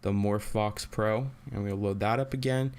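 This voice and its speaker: A man hosting a casual, unscripted software tutorial, thinking aloud in a halting way as he goes. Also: lowish voice, steady tone, smooth.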